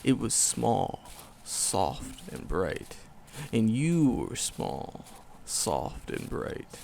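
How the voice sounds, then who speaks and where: A man voicing an ancient, hulking forest demon, tender and wistful, in a gravelly, poetic, slow-phrased ASMR roleplay. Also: lowish voice, big pitch swings, darkish tone, a little hiss or hum, full character voice. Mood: fond, nostalgic. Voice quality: gravelly, growling creak. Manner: slow, poetic, storybook phrasing with dramatic pauses.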